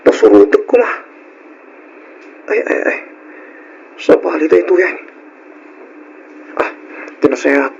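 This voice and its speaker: A plaintive, stubborn husband in an old radio drama. His voice sits extremely high for a man and crackles with creak. He exclaims in agitation over faint background noise. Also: animated, slow pace, long pauses, a little mellow, highs cut off, heavily acted. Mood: anxious. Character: whiny, stubborn lazy husband and son, Michael.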